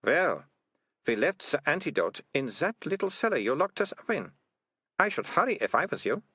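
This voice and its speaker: A man's voice: fairly high pitch, very animated, fairly bright tone, slightly rough, dry recording, pitched above the performer's own voice, heavily acted. Man voicing a coolly menacing young interrogator. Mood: cool, probing. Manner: measured, pointed, dry.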